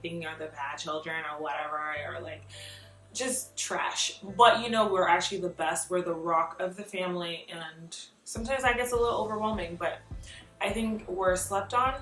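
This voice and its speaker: Chatty woman in a roomy space. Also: mid-range voice. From Nigeria. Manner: chatty and animated, laughs often, lots of "like" fillers. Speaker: vlogger. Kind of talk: casual chat answering tag questions. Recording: roomy echo, mic a bit far off, faint music behind.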